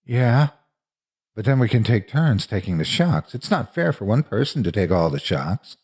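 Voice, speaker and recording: A man's voice: on the low side, sing-song, normal speed, darkish tone, husky, full character voice. A man voicing a terrified boy who desperately pleads to be let go in a dramatic story. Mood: pained pleading. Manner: desperate, begging.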